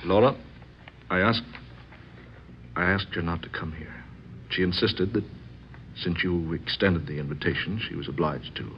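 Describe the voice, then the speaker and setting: Stiff, sceptical husband in radio drama: deep voice, formal and scornful, muffled faintly noisy sound. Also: male voice, normal speed, pauses often. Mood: defensive scorn. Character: sceptical, aggrieved husband, stiff and coldly insistent. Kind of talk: old-time radio drama acting.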